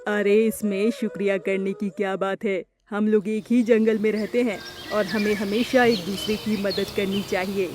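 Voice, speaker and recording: A woman plays a kindly male pigeon from a children's cartoon. The voice is dark and mellow, brotherly and reassuring. Music runs underneath. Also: medium pitch, fairly even intonation, moderate pace, few pauses, lightly acted.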